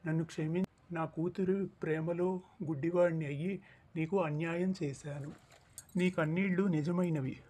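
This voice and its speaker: A man's voice: on the high side, steady tone, moderate pace, warm tone, lightly acted. A man playing a commanding king and anxious father in a cartoon story, with an imperious, demanding delivery. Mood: remorseful. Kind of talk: acted cartoon story dialogue.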